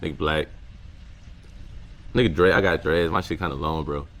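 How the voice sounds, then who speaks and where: A young man with a deep voice, talking casually to camera in a reflective vlog, full of slang and repeated words. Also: steady tone, moderate pace, fairly bright tone. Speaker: content creator.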